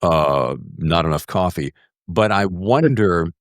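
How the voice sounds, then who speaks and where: A low-pitched man hosts a podcast interview in casual, emphatic talk. His intonation swings widely as he builds his questions, and the recording is close and dry. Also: takes it slowly, no echo. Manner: expressive, emphatic, acts out imagined quotes.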